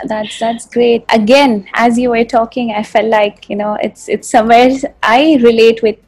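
A woman host who trains clients herself, talking warmly affirming and rambling with many restarts. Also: a little high, steady tone, normal speed, dry recording, poor audio. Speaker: fitness podcast host. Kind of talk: conversational interview.

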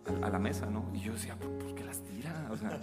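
A man in his forties, a guest from Chiapas, Mexico, telling his life story in a relaxed, joking way with many restarts and laughs. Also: fairly low pitch, fast talker. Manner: chatty, jokes and laughs, restarts often. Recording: roomy reverb with loud music behind.

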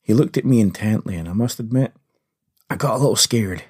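A man's voice: fairly low pitch, fairly quick, darkish tone, slightly rough. A Scottish man hosting a story podcast, narrating in the first person in a folksy, confiding way.

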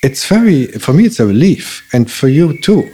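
A man who grew up in Belgium, a native German speaker, talking casually and telling stories in a halting way, with many restarts. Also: mid-range voice, slight background noise. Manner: halting, restarts often, animated storytelling. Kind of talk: casual conversation.

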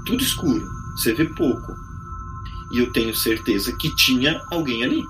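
A man's voice: mid-range voice, big pitch swings, moderate pace, fairly bright tone, rough recording. Brazilian man telling eerie stories over music. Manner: colloquial, full of slang interjections. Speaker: podcast guest. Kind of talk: casual spoken storytelling of eerie personal experiences.

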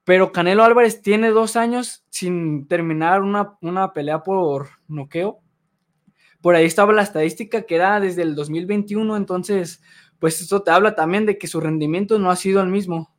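A man co-hosting a sports show, giving a measured, analytical take. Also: high-pitched.

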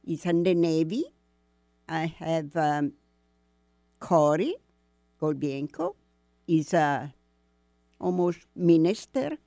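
An older woman whose first language is Italian, talking hesitantly in halting, broken English as a guest in a casual interview. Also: low voice, lively intonation, dark tone, dry recording, clean studio sound.

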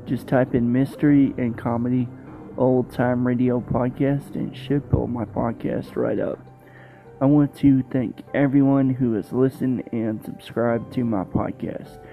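Slow-talking male podcast host. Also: mid-range voice, steady tone, creaky. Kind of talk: scripted podcast outro. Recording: dull, muffled podcast recording. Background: faint guitar music.

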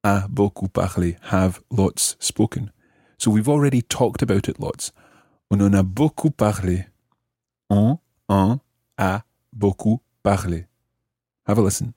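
Soft-toned, low-voiced male language teacher. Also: a little slow, slightly rough, no room sound, studio quality. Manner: didactic, carefully enunciates and translates. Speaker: language teacher, podcast host. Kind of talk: explanatory French grammar lesson, phrase by phrase.

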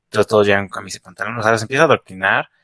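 A man's voice: lowish voice, rapid-fire, bright, forceful tone, no room sound, studio quality. A man with a Mexico City accent co-hosting a casual chat, joking and very slangy. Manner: slangy, joking, says güey a lot. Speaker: podcast co-host.

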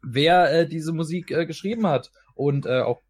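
A man's voice: a little high, moderate pace, breathy edge, no echo. A man hosting a podcast in a casual, wordy, rambling way. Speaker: podcast host. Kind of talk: casual talk.

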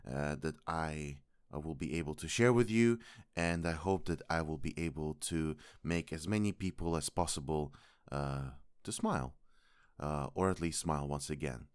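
A man hosting a podcast, speaking formally and politely with a sore throat and many halting pauses. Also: low voice, clean tone, no echo, studio quality. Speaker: podcast host. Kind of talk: podcast intro monologue.

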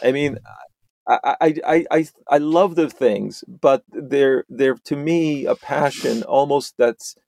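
A middle-aged man from Romania, a podcast guest, talking in a halting way with many restarts and repeated words. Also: medium pitch, creaky, darkish tone, no echo. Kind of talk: conversational interview.

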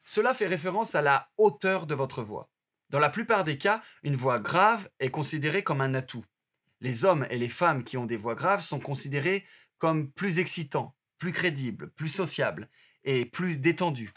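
A man reading an audio course aloud in a steady, instructive way. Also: on the high side, moderate pace. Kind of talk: scripted narration.

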